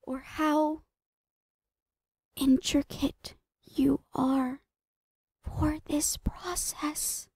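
High-voiced, unhinged doting lady doctor. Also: flat delivery, very slow, long pauses, a little mellow, no room sound, studio quality, heavily acted. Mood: creepily soothing. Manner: breathy giggling, cooing, slipping into whispers. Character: smiling psychotic female doctor, obsessively doting on captive test subject. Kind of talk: horror ASMR roleplay.

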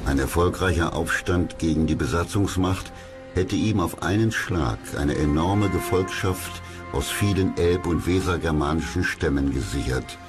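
A man reading scripted documentary narration in a measured, dramatic storytelling way. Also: a bass voice. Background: music behind the voice.

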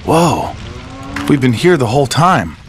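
An actor playing an anxious, bewildered young boyfriend sidekick in a video game cutscene. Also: male voice, mid-range voice, very animated, measured pace, noisy background.

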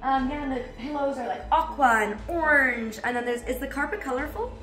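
A woman's voice: high voice, brisk, a bit bright, echoey room. Nasal bubbly young woman vlogger. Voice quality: nasal from recent illness. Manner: bubbly, gushing, lots of "like". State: getting over a cold. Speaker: vlogger giving a house tour. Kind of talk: casual chatty house tour. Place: bright home interior, indoors.

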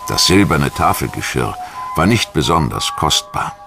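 A man narrating a scripted history documentary in a measured, articulate storytelling manner. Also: extremely low pitch, sing-song, gravelly, music in the background.

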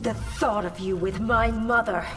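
A woman's voice: on the high side, moderate pace, lightly acted. A woman playing a proud, resolute young warrior princess in a fighting game, speaking firmly, coldly and formally. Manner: firm, cold, formal. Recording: distant, loud music behind.

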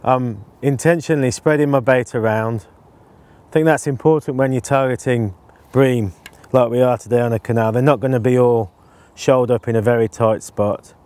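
A man in his forties explaining his canal fishing setup in a relaxed, casual way, with frequent ums, recorded outdoors on a lapel mic. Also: mid-range voice, normal speed. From England. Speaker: angling presenter. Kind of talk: casual instructional talk to camera.

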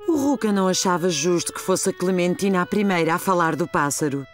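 A woman's voice: fairly low pitch, expressive, fairly quick. A woman with a European Portuguese accent reading scripted story narration for a children's cartoon. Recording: faint music behind the voice.

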